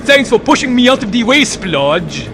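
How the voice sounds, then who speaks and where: A man's voice: very high voice, very animated, normal speed, over music. A man voicing a bullying, sneering villain diesel engine in a mocking, taunting manner for a cartoon comic dub.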